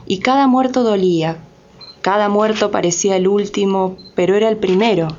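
A woman's voice: medium pitch, normal speed, a little mellow, lo-fi sound, slight background noise. A woman giving grave, scripted narration for a radio drama.

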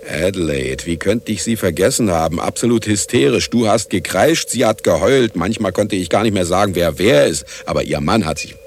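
A man's voice: deep voice, expressive, normal speed, hardly any pauses, slight background noise. An actor playing a middle-aged male scientist in a German radio play, dry, pompous and resigned. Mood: dry, scornful. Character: world-weary, self-important male scientist, evasive and dry.

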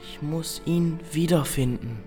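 A stubborn child seeker in a radio play, full of wonder and quick to grieve. The voice is low for a woman and slow, emotional and breathy, with faint music underneath. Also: warm tone. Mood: quiet resolve. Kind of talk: German radio play acting.

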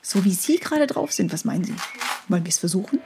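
An actress playing a gushing, pushy nurse in a satirical radio play, coaxing in a falsely sweet sing-song manner. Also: female voice, fairly low pitch, big pitch swings, quick pace, creaky. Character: gushing, pushy female nurse egging a patient on. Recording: noisy scene with clicking sounds.

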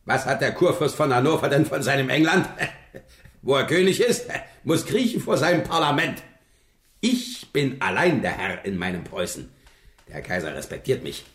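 A man's voice: medium pitch, sing-song, moderate pace, a bit bright, roomy sound. An actor playing a blustering, vain, middle-aged king in a German radio play, imperious and volatile, swinging between muttering and outbursts.

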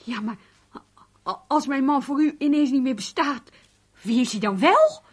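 A woman's voice: high voice, expressive, normal speed. An actress playing a hopeful, nagging wife in a radio play, questioning insistently and stumbling over her words. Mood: flustered. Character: hopeful, nagging working-class wife who believes in luck. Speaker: radio drama actor. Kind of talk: Dutch radio play dialogue.

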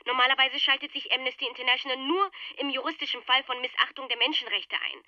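A woman plays a polite, persuasive official in a radio drama. Her voice is high and quick, with formal phrasing. It sounds dry and dulled, as over a telephone. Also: no echo, lo-fi sound, close to an everyday voice. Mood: cool, offended. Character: smooth-talking, formal female aid official running a scam.

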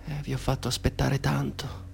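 An actor playing a polite, soft-spoken stranger in a radio drama who talks in a calm, measured way with a hidden menace. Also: male voice, mid-range voice, creaky, breathy edge, exaggerated voice.

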